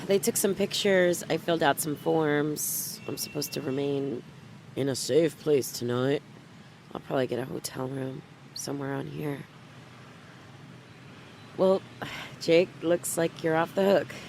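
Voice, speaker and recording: An actress playing a troubled, guarded young woman in a noir audio drama, with a cool, coy, deliberate delivery. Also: low-pitched, fairly even intonation, gravelly, a little mellow, slight background noise. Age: young adult.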